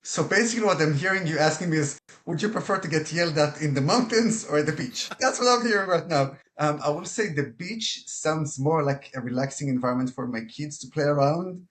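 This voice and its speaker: A man in his thirties from Israel, with an Israeli accent, talking as a podcast guest in a relaxed, wry, joking way. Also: fairly high pitch, fairly quick, hardly any pauses. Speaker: podcast guest, product manager. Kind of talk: casual interview conversation.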